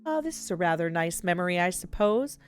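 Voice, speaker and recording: Casting: self-centred undying game villain, acted by a woman, smooth and clear, grumbling and dismissive. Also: fairly low pitch, big pitch swings, a little fast, soft background music. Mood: smug, wistful. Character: vain, self-centred immortal skinless villain recalling memories. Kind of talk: reading visual novel dialogue in character.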